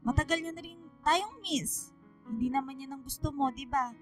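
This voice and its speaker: A woman's voice: high voice, normal speed, soft background music, lightly acted. An actress playing an emotional middle-aged mother, forgiving yet admonishing, in a radio drama, with a Filipino accent. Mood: pleading. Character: middle-aged mother, forgiving of husband, scolding her daughter.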